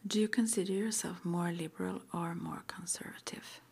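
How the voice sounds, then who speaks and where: A woman whispering breathily in an ASMR roleplay, gently and hesitantly asking questions like an interviewer. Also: fairly low pitch, steady tone, moderate pace, slight background noise. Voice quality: breathy whisper, mostly unvoiced.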